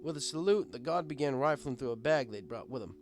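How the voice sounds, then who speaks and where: A man's voice: medium pitch, expressive, fairly quick. A man narrating a first-person story as a bartender, conversational and wry. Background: guitar music.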